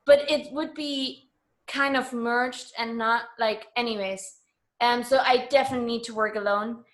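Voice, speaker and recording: Higher-pitched woman, frank and earnest. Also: fairly even intonation, normal speed. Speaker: interview guest. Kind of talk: casual radio interview conversation.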